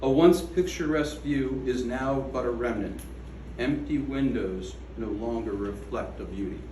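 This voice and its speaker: Man, poet reading, measured and slow, roomy reverb, away from the mic. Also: mid-range voice, mellow, a little hiss or hum. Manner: slow, measured recitation with falling line endings. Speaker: poet reading his poem. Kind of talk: poetry reading at a gallery event. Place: art gallery room. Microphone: away from the microphone. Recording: echoey room from a distance.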